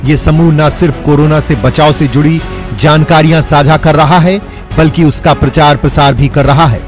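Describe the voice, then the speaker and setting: A man's voice: a little high, fairly even intonation, normal speed, faint music behind. A man with an Indian accent giving a scripted news voice-over as a reporter.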